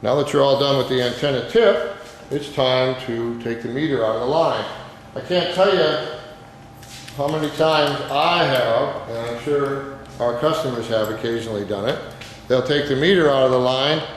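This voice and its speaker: A man's voice: medium pitch, moderate pace. A man giving an informal, plain-spoken, step-by-step hands-on demonstration as an instructor. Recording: very echoey reverberant room, background noise.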